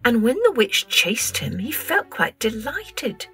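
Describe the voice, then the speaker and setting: An older woman with a Scottish accent, telling stories and poems to children in an expressive, theatrical, deliberate way. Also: fairly high pitch, soft background music. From Scotland. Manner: theatrical, deliberate, drawn-out delivery with hushed passages. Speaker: children's storytelling podcast host. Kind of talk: expressive storytelling and poem recital for children.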